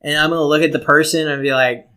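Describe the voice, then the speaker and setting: A man's voice: fairly high pitch, fairly even intonation, fast, sharp tone, smooth. A man co-hosting a podcast in casual comedic chat, joking in slangy, profane language. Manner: profane, joking, laid-back slangy talk. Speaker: podcast co-host.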